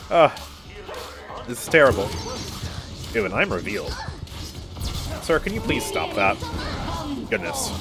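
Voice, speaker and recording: A male streamer talking through his gameplay at home, his voice a bit stuffy and nasal from a cold. He is chatty and quick to laugh, and his pitch bounces up and down a lot as he reacts. His voice sits at an ordinary middle pitch. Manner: chatty, upbeat, laughs often, reacts aloud to play. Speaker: game streamer. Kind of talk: casual live gameplay commentary. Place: his own room at home.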